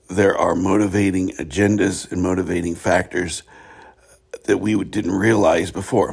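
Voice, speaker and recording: A hoarse middle-aged man hosting a reflective spiritual talk show, speaking in a halting way with many pauses. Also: low-pitched. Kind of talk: reflective solo monologue.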